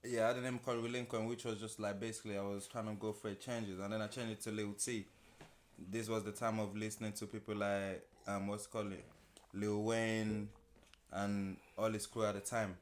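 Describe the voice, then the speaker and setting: A man, telling stories in a relaxed way with slang as an interview guest. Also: fairly low pitch, a little fast. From Nigeria. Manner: relaxed storytelling with slang, laughs often. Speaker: music producer and interview guest. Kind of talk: casual interview chat.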